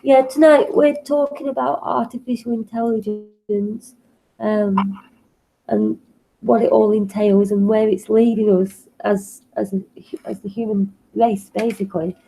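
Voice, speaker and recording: A woman co-hosting a conversational show while nursing a cold, her voice a little bunged up and sniffly. Her tone is mellow and dark, with a gravelly creak at the edges. Faint background noise sits under the recording. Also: medium pitch, fairly even intonation, low-quality recording. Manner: thoughtful, halting with fillers. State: has a cold, sniffly and congested. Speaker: co-host. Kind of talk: casual conversational talk show.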